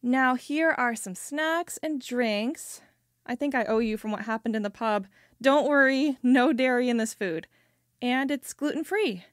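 A woman's voice: sits high, unhurried, no room sound, clean studio sound. A young woman playing a sociable, insistent friend in an amateur audio drama. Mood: chatty.

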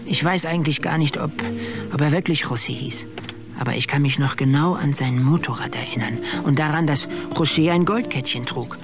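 A man's voice: a little high, brisk, dull audio, over music, lightly acted. An actor playing a shy, deferential male attendant in a radio drama, hesitant and polite. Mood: wistful. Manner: hesitant, polite, soft-spoken.

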